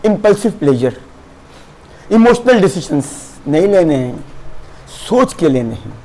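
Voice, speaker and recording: Animated, high-pitched Pakistani man lecturing. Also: unhurried, rough recording. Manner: animated, switches between Urdu and English, acts out students' voices. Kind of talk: classroom lecture. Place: classroom.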